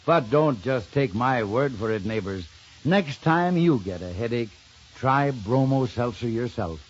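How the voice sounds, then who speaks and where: A man reading a folksy, emphatic sales pitch as a 1950s radio announcer. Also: expressive, measured pace. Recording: dull old 1950s radio recording.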